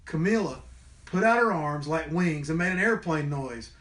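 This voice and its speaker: A man with a fairly high voice reads a children's storybook aloud. His delivery is expressive, in the manner of a schoolteacher at story time. The room adds noticeable echo. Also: moderate pace. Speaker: storybook reader, teacher. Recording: roomy indoor echo.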